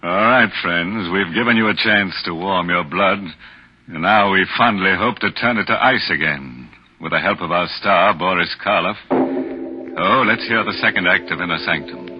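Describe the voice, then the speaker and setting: Very deep, macabre radio horror host. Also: male voice, normal speed, breathy edge. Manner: macabre, punning, theatrical. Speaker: show host and announcer. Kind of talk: 1940s radio horror hosting. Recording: dull 1940s radio, music behind.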